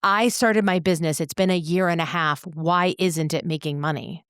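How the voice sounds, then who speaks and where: Low-pitched woman, earnest podcast host, close dry sound. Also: fairly even intonation, moderate pace, fairly bright tone, smooth, dry recording. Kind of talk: reflective podcast conversation.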